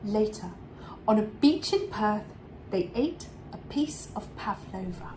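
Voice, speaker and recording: Animated woman reading a children's story slowly. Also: mid-range voice, noisy background. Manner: animated, dramatic pauses and drawn-out words. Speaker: storybook reader for an English club. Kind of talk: expressive read-aloud children's storytelling.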